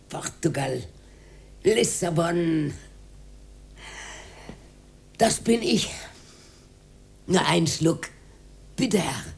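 An actress playing a proud, aggrieved old cleaning woman with an Austrian accent and a husky, cracked voice in a radio drama. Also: sits low, a little mellow, full character voice. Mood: coaxing. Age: older. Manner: emotional, rambling, swinging from mutter to outcry.

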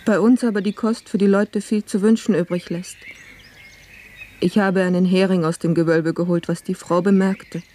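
An actress playing a dutiful, put-upon housemaid in a German radio play, speaking plaintively and earnestly in old-fashioned formal phrases. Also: female voice, on the low side, fairly even intonation, normal speed, warm tone, a little hiss or hum, natural voice.

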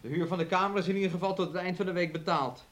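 An actor in a radio play playing a callous, coaxing man who talks with quiet insistence. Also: sits high, steady tone, rapid-fire, lightly acted. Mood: detached, casual. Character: callous, coaxing man exploiting a sick woman.

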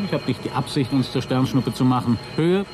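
An actor playing a cocky, wisecracking astronaut pilot in a German radio play, with a breezy, excitable manner. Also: male voice, fairly quick, close to an everyday voice. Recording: noisy rumbling vehicle ambience.

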